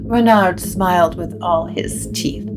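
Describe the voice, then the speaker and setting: Measured, slow-paced woman narrating supernatural fiction. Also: on the low side, over music, rough recording. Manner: measured, literary storytelling.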